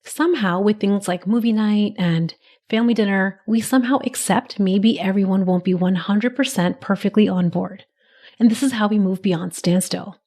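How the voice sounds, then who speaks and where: Slightly raspy woman podcast host. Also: mid-range voice, moderate pace, a little mellow. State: raspy, recovering from a cold. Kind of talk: solo podcast monologue.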